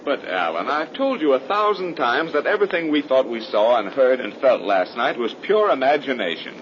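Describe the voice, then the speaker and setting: An actor playing a brash, sceptical young man, brisk and slangy, in a 1930s radio horror drama. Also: mid-range voice, very animated, close to an everyday voice. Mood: puzzled, dismissive. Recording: noisy, dull 1930s radio recording.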